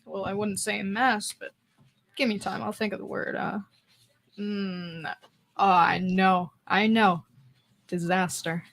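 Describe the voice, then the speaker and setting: A woman's voice: mid-range voice, fairly even intonation, slow pace, gravelly, long pauses, a bit bright. A woman playing a wry, wisecracking male musician neighbour in an old-time radio comedy style. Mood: mocking.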